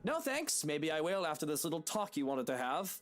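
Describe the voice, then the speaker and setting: A woman's voice: sits low, big pitch swings, a little fast, faint music behind, voice pushed down. A woman voicing a cocky, defensive husband in a brash, dramatic way for a visual novel game. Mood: irritated. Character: cocky, defensive British husband, flustered young man.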